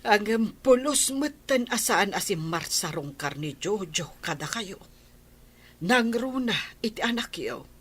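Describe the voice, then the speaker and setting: A woman voicing an older, devout grandmother who gives her daughter patient advice, with a husky voice. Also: medium pitch, lively intonation, measured pace. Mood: soothing.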